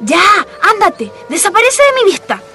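A feisty teenage daughter from a radio play, acted by a woman, with a very high, swooping voice. She is sassy and indignant, with a Chilean accent, over music. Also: huge pitch swings, moderate pace, bright, forceful tone, heavily acted. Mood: annoyed, indignant. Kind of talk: radio drama acting.

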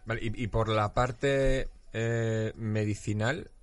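A man's voice: on the low side, steady tone, slow, clear, resonant tone. A man, casually asking questions as an interviewer. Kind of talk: casual chat.

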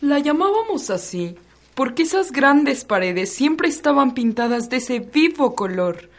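A woman's voice: high-pitched, sing-song, measured pace. A young adult woman reading narration for a radio drama.

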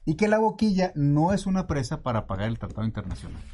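Un hombre de la Ciudad de México con acento mexicano, entrevistado como director de una junta de aguas, que explica con calma y se ríe con facilidad. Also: male voice, a little high, fast, slight background noise. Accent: Mexican Spanish. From Mexico City. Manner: relaxed, explains at length, laughs easily. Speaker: guest, water utility director. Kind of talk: casual interview.

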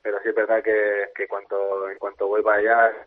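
Canarian footballer on a tinny phone line. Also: male voice, fairly low pitch, completely flat delivery, a little fast. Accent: Canarian Spanish, a little softened. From Canary Islands. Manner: hesitant, repeats words, many fillers and tag questions. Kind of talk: phone interview. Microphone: telephone.

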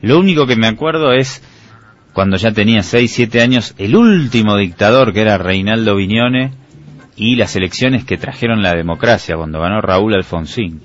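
Animated Argentine man on radio. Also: fairly low pitch, normal speed. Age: 30s. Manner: animated, joking, opinionated. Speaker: radio co-host. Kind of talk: casual radio talk. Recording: dull lo-fi radio with faint music.

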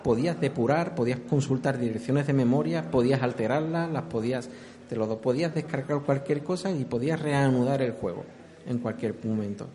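A middle-aged man speaks with an accent from Spain in a medium-pitched voice. He recounts things conversationally as an interviewee, explaining step by step and often doubling back on his words. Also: a little fast, warm tone. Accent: Spanish from Spain. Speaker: interviewee, former video game programmer.

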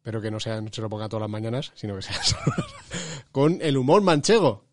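A man's voice: lowish voice, lively intonation, dry recording, studio quality. A man with a Spanish accent hosting a casual finance podcast, joking and laughing often with his co-host. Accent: Spanish from Spain. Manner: jokey, laughs often, quick banter. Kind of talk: casual chat.

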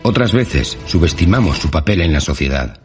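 A man's voice: very deep voice, animated, moderate pace. A man playing a weary fictional soldier in an audio drama, over background music. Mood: solemn. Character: weary male fictional soldier character, pleading with his writer. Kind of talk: Spanish-language audio fiction acting.

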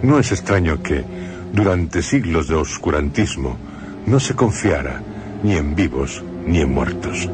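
A man with an extremely deep voice narrates a radio story in a measured, solemn manner. Music plays clearly beneath his words.

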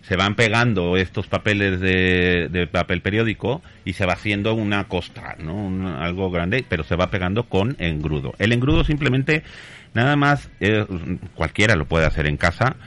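Man, deep voice, craftsman guest, casual explanatory talk. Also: normal speed, a bit bright. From Mexico.

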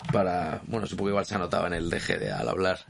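A man's voice: low-pitched, sing-song, fast talker, creaky. A man in his thirties from Madrid with a Madrid accent, co-hosting a comedy podcast with sarcastic, crude asides. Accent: Madrid Spanish. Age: 30s. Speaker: podcast co-host. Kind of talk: casual comedic banter.

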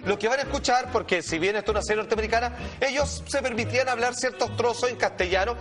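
Chilean man, very high voice, emphatic informal commentary, slangy, music behind. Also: fast talker, a bit bright. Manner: emphatic, slangy, addresses listeners directly. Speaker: talk show commentator.

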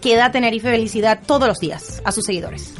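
Female radio host, fairly high voice, conversational interviewing, chatty and direct, clear music bed. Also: fast talker. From Venezuela. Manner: chatty, addresses listeners and guests directly. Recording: music behind the voice.

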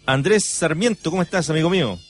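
A man's voice: fairly high pitch, animated, fast. A Chilean man hosting a live radio talk show, effusive and formally friendly in his address. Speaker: radio host. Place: radio studio. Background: background music.

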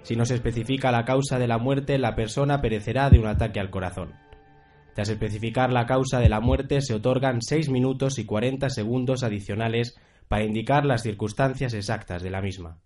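A man's voice: medium pitch, fairly even intonation, brisk, a little mellow, lightly acted. A man playing a grave, formal voice reciting a cursed book's deadly rules in a radio drama. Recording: music behind the voice.

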